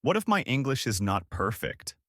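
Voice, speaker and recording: Male podcast teacher, mid-pitched, clear and upbeat. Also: big pitch swings, normal speed, sharp tone, no room sound, studio quality. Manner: clear, upbeat explaining with example phrases. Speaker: podcast co-host and English teacher. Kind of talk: scripted English lesson dialogue.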